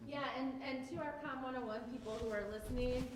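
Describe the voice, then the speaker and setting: A woman's voice: sits high, fairly even intonation, quick pace, crowd noise. A woman moderating a live talk, explaining in a teacherly way for those listening. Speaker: moderator and college instructor. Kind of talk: moderating a live talk, restating for remote viewers. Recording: very echoey room, faint background noise.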